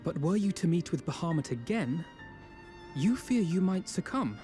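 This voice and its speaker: Man playing an earnest, stern adventurer, mellow-voiced. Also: fairly high pitch, very animated, soft background music. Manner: formal, measured fantasy phrasing. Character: earnest, stern male adventurer urging redemption. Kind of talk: fantasy game dialogue.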